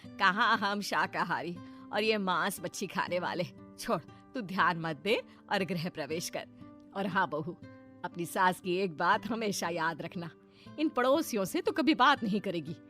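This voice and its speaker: A woman voicing a middle-aged mother-in-law in an animated story, welcoming yet firm, instructive and insistent. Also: lowish voice, measured pace, faint music behind. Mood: firm warning.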